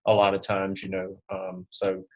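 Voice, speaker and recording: A man talks casually as a guest on an interview show. His voice sits a little lower than average. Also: completely flat delivery, normal speed, low-quality recording. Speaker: guest insurance agent.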